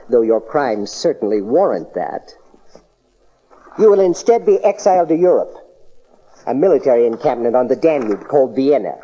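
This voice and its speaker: Stern Roman governor, highish, muffled. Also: male voice, mellow. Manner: formal, cold, authoritative. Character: stern, commanding Roman prefect taking over Judea. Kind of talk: dramatized Bible audio acting. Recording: dull noisy cassette transfer.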